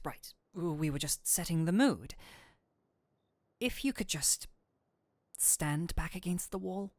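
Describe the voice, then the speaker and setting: A woman voicing a flirtatious incubus demon who is secretly an excitable nerd, in a scripted roleplay with theatrical, formal phrasing that slips into flustered gushing. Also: low-pitched, dry recording, full character voice. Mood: composed, sultry. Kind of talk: scripted roleplay audio addressed to the listener.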